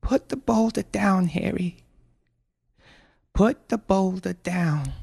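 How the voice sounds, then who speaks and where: A man voicing an anxious, protective mother in a story, with a breathy, pleading urgency. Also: mid-range voice, very animated, measured pace, pitched above the performer's own voice.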